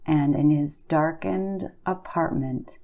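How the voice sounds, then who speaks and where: A woman's voice: deep voice, slow, warm tone. A woman playing a blunt, casual creepy-story teller, offhand and slangy in her banter. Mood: offhand, spooky. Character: Bee, blunt, slacker-casual woman trading creepy stories. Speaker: podcast co-host.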